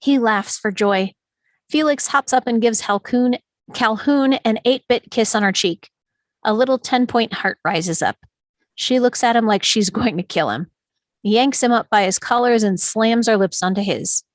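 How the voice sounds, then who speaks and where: A woman's voice: fairly high pitch, measured pace, no echo. Woman narrating action, dry close sound. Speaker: stage directions narrator. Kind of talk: scripted narration at a table read.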